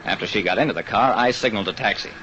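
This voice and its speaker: A man's voice: fairly low pitch, racing, natural voice. An actor playing a hard-luck, wry, world-weary private detective who narrates his case in an old radio drama. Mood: matter-of-fact. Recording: dull, noisy old radio recording.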